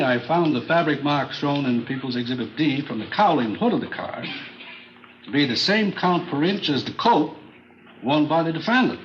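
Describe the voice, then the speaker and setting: An actor playing a matter-of-fact forensic expert witness in an old radio drama, testifying in a measured, unemotional way. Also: male voice, medium pitch, a bit bright, lightly acted. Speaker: forensic chemist on the witness stand. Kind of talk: 1950s radio drama acting. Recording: muffled, noisy old radio recording.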